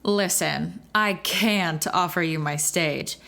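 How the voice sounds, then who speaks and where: An actress playing a middle-aged, masculine theater owner who is blunt, dismissive and bossy, in an audio musical. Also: female voice, on the low side, slow pace, gravelly, fairly bright tone, full character voice. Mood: brusque, dismissive. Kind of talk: musical audio drama acting.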